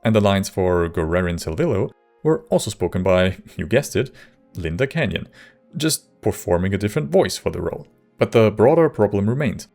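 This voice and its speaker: A man reading scripted video essay narration in a measured, wry manner. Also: lowish voice, expressive, creaky, darkish tone, soft background music.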